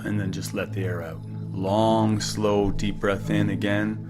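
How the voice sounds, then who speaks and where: A man leading a guided meditation in a calm, unhurried, gently instructive way. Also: lowish voice. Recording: music behind the voice. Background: music.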